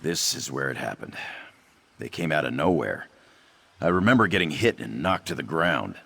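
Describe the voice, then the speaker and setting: A man's voice: very deep voice, very animated, normal speed, vocal fry, bright, forceful tone, slightly rough, heavily acted. A man voicing an anxious, self-doubting young cat-man trader in a fantasy video game, fretful and hesitant. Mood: troubled, recounting.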